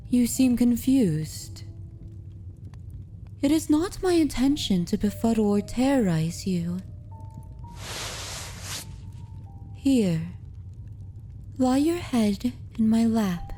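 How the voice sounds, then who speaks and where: A woman playing an aristocratic vampire mistress in an ASMR roleplay, predatory yet consoling, speaking formally and deliberately in a soothing way. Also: fairly high pitch, expressive, dark tone, faint music behind. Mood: soothing, reassuring. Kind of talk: ASMR roleplay, scripted dramatic monologue.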